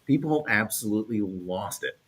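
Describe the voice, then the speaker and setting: A man's voice: fairly low pitch, expressive, moderate pace, fairly bright tone, husky, poor audio. A man chatting casually and emphatically as a podcast panelist. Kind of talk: casual chat.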